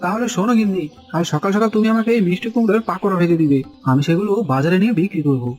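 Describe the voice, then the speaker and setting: A man's voice: sits high, very fast, darkish tone, close to an everyday voice. A man voicing an earnest, hard-working village snack seller in a cartoon, with emphatic, exclaiming delivery. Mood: eager. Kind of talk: dramatized cartoon dialogue. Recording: music bed behind the voice.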